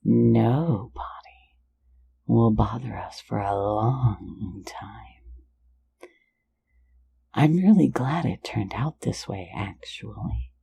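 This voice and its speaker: A woman's voice: a bass voice, extremely slow, creaky, long pauses, mellow, slightly rough, heavily acted. A woman voicing a sly, playful phantom thief in an ASMR roleplay, mostly whispering close to the mic in a teasing, soothing way. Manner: teasing, soothing, lulling listener to sleep.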